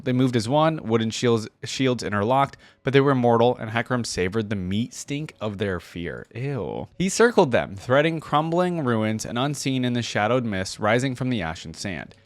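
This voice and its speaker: A man hosting a reaction, moving between casual commentary and expressive reading of fantasy stories aloud. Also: on the low side, no echo. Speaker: online reaction host.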